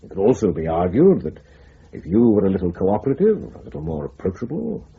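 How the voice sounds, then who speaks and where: An actor playing a measured, authoritative intelligence chief with a husky voice, speaking formally in a radio drama. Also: male voice, low-pitched, wildly swooping pitch, exaggerated voice. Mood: firm, diplomatic. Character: measured, authoritative senior spymaster, calmly diplomatic superior. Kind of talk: broadcast radio drama acting. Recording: muffled radio drama recording.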